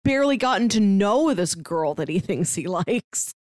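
A woman's voice: medium pitch, lively intonation, normal speed, darkish tone, no room sound, studio quality. A woman co-hosting a podcast, talking casually through a review and sometimes laughing lightly as she speaks. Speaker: podcast co-host. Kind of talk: casual conversational book review.

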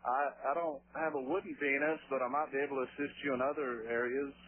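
A man's voice: mid-range voice, fairly even intonation, fast talker, slight background noise. A man co-hosting a comic talk radio show who jokes and teases in the banter. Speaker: radio co-host. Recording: phone-like lo-fi radio broadcast.

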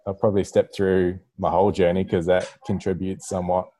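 A New Zealand man with a low-pitched voice and a soft, mellow tone. He talks in a casual, conversational way, like someone telling a personal story among friends. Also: flat delivery, fairly quick. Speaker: podcast participant telling own journey.

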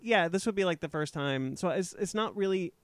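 A man's voice: sits high, lively intonation, brisk, no echo. A man in a casual comics chat, hesitant and often restarting his sentences. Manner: hesitant, self-correcting.

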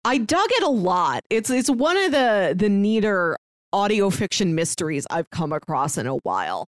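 A woman co-hosting a podcast in casual chat, retelling stories in an animated, acted-out way. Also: medium pitch, normal speed, dry recording, clean studio sound. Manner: animated storyteller who acts out quoted dialogue. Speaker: podcast co-host.

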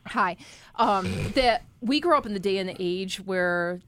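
A woman's voice: mid-range voice, measured pace, a bit bright, a little hiss or hum. A woman in her forties co-hosting a podcast, chatty and reminiscing. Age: 40s. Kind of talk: casual panel chat.

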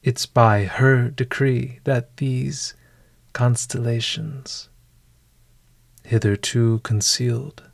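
Very slow-speaking man guiding bedtime meditation. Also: mid-range voice, steady tone, vocal fry, no room sound. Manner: hushed, deliberate, ornate poetic phrasing with long pauses. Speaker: podcast host guiding meditation. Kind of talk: guided bedtime meditation, scripted narration.

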